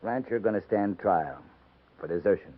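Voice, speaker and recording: Stern commanding officer for a 1950s radio western: lowish, measured delivery, boxy vintage recording. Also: male voice, breathy edge, narrow, tinny audio. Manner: measured, terse, authoritative. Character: stern, steady cavalry captain commanding a besieged detachment.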